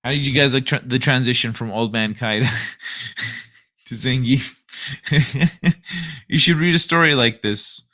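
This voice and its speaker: A man's voice: mid-range voice, bright, forceful tone. A man hosting a casual livestream and chatting loosely with viewers, joking and laughing often. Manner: loose and jokey, with frequent laughter and quick asides. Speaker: livestream host.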